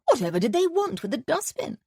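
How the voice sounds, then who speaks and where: A woman reading an audiobook, voicing a cordial, airy, well-spoken gentleman. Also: high voice, very animated, brisk, dry recording, clean studio sound, voice pushed up. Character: cordial, breezy upper-class gentleman.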